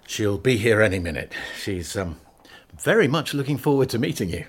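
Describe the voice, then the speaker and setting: A well-meaning village vet in a radio drama, hesitant and full of ums. His voice rises and falls with lively movement. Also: lowish voice, moderate pace, husky. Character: awkward well-meaning village vet, middle-aged man.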